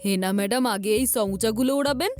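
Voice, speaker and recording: A high, cheeky cartoon voice of a little boy performed by a woman. He is a mischievous meddler with a singsong, theatrical way of talking, over background music. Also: fairly quick, darkish tone, lightly acted. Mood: sly. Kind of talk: Bengali cartoon dub acting. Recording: music behind the voice.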